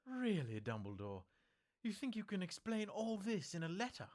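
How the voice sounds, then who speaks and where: A man voicing an anxious, indignant older female witch professor in a storybook, with a shrill, strained voice and emphatic delivery. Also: wildly swooping pitch, moderate pace, a little mellow. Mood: indignant, agitated. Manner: emphatic, agitated.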